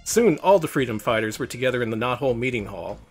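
A man's voice: medium pitch, expressive, fast, breathy edge, faint music behind. A man reading a children's picture book aloud as host, in storybook narration.

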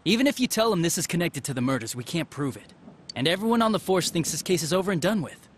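A man's voice: on the high side, very animated, fast talker, a little hiss or hum. A man playing a nervous, whiny young police detective in a video game, protesting in a flustered way. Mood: anxious, protesting.

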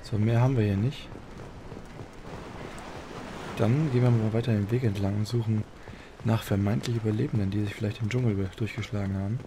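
A man doing casual let's play commentary in a creaky voice. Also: on the low side, steady tone, fast talker. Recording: clear game noise behind voice. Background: video game sound.

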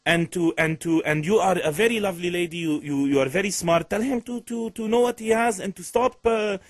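A man's voice: high-pitched, brisk, dry recording. A radio host voicing a jealous, lovesick man who speaks broken English with a put-on Middle Eastern accent, stammering and repeating himself. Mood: pleading. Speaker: radio host doing a prank call.

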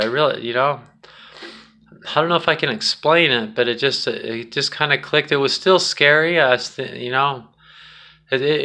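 A man's voice: medium pitch, sharp tone. A middle-aged man telling a personal story in a casual, rambling, candid vlog style, recorded on a phone indoors at home. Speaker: vlogger. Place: small indoor room at home. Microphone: phone at arm's length.

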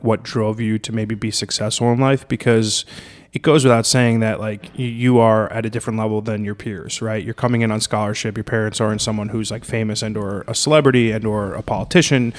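A man's voice: lowish voice, steady tone, a little fast. A man hosting a podcast, asking casual questions full of "like" and "kinda". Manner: friendly, fills with "like" and "kinda". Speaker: podcast host.

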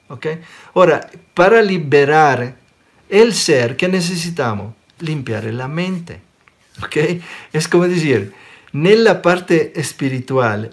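A man in his forties from Sicily who speaks with an Italian accent, giving an animated spiritual talk in an online question-and-answer session and often checking in with listeners. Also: fairly high pitch. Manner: animated, gestures a lot, checks in with listeners by asking "ok?". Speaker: spiritual teacher. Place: small room indoors. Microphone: webcam close up.

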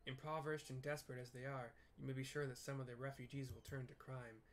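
A man's voice: mid-range voice, flat delivery, quick pace, close to an everyday voice. Man acting townsman, fantasy game story, grave, apprehensive, formal old-fashioned wording, faint background noise. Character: apprehensive male city townsman, world-weary and grave.

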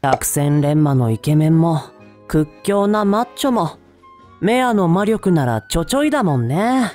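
A playful young anime male, cheeky and very animated in pitch. The voice is soft and mellow, as in a voice drama, over faint music. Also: a little fast. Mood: cocky. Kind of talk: Japanese voice drama acting.

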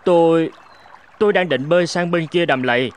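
A man's voice: fairly high pitch, normal speed, close to an everyday voice. A man voicing a timid, admiring frog in a cartoon fable, speaking in a hesitant, fawning manner.

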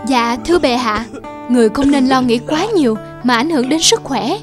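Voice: high-pitched, normal speed, over music, close to an everyday voice. An actor voicing a cautious, deferential royal courtier in a cartoon fairy tale, respectful and formal. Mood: respectful, concerned.

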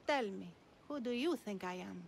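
An actress playing an enigmatic, lofty older wise woman in a video game, speaking in a measured, cryptic, superior way. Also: medium pitch, expressive, gravelly, a little hiss or hum. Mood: lofty, knowing. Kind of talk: video game cutscene dialogue.

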